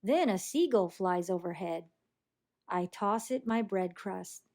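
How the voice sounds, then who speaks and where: Slow-paced woman reading a children's storybook. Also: medium pitch. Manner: animated, acts out sound effects and character lines. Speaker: storybook reader. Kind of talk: expressive children's read-aloud narration.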